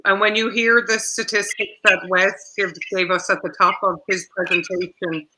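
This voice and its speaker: A man moderates a discussion in a very bright, forward voice. The intonation stays fairly flat, while the questions come out wordy and tentative, circling before they land. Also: high voice, moderate pace, slight background noise, rough recording.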